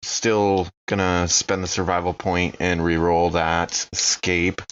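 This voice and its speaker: Lower-pitched man, slightly dull recording. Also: level tone, takes it slowly, fairly bright tone, clean tone. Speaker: player in a tabletop game. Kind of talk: casual game-table chat.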